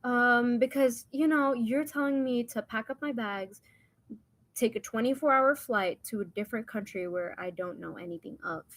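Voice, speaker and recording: A woman's voice: on the high side, moderate pace. A young woman whose first language is Hindi, from India and the United States, telling personal stories in a casual, rambling, informal way as a podcast guest.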